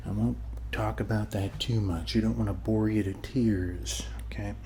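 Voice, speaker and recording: A man playing a friendly, rambling neighbor in a soft ASMR roleplay, speaking haltingly and often dropping to a whisper. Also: on the low side, steady tone, slight background noise, natural voice. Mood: rambling, easygoing. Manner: halting, rambling, reassuring, often ending phrases with "okay". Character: friendly rambling male neighbor at a lake party. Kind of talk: ASMR roleplay, soft-spoken one-sided conversation.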